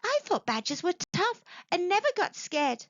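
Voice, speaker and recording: A woman's voice: very high voice, very animated, moderate pace, dry recording, highs cut off, pitched above the performer's own voice, exaggerated voice. A woman voicing a timid, anxious young female hedgehog in a children's storybook, speaking in a fretful way. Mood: surprised. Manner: quiet, fretful.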